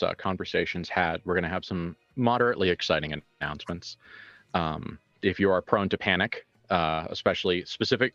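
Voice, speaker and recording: A man's voice: low voice, a bit bright, no room sound. A man hosting a game stream, chatting casually and playfully with some swearing as he makes announcements. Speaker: game master and stream host. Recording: dull dry sound over music.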